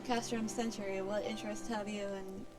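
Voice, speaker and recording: A woman's voice: mid-range voice, little pitch movement, normal speed. A woman streamer reading fantasy game story dialogue aloud in a theatrical, formal delivery. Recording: music playing behind the voice.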